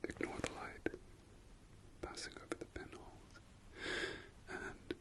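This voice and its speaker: A man whispering softly through an ASMR eye-exam roleplay, repeating gentle praise and soothing words. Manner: slow soft whisper, repetitive soothing praise. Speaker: ASMR creator.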